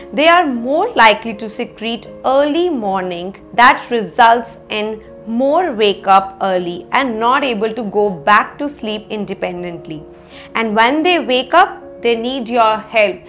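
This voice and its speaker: A woman in her thirties with an Indian accent presents an explainer lesson to camera, phrasing things deliberately and with emphasis. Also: a little high, a bit bright. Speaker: parenting educator, presenter. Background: background music.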